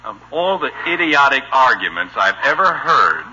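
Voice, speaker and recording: An American actor playing an excitable, fussy middle-aged suburban father in a 1950s radio comedy. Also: medium pitch, moderate pace, husky, full character voice. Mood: exasperated, patient. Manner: flustered, stammering, fussy. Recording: dull, faintly noisy old radio recording.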